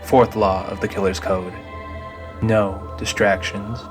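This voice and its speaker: A man's voice: fairly low pitch, little pitch movement, takes it slowly, music in the background. A man narrating a scripted audio drama.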